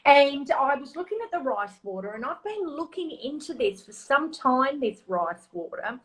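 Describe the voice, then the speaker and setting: A high-voiced Australian woman hosts a casual live-stream chat, easygoing and full of fillers. Her voice rings around an echoey room. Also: very animated, moderate pace, fairly bright tone. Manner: chatty, filler-heavy, easygoing. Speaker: host.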